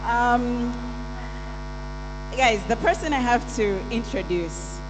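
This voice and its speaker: A woman acting as event MC, playful and slow-paced, mixing several languages while greeting the crowd. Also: fairly high pitch, lively intonation. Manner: playful, switches between languages. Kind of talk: lively stage hosting. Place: large loud conference hall. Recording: reverberant hall with mains hum.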